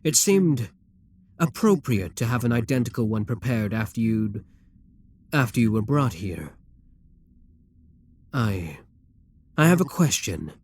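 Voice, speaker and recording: A man's voice: mid-range voice, a little mellow. A man playing a proud, seductive demon king in an ASMR roleplay, speaking in an imperious, courtly and theatrical manner.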